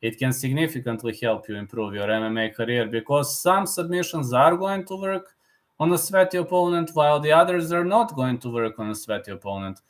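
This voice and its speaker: Serbian guy streaming, mid-pitched, opinionated and lecture-y, lots of uh's. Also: brisk. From Balkans. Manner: opinionated, lecturing, frequent hesitations. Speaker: MMA analyst streamer. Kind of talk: casual livestream chat answering viewers.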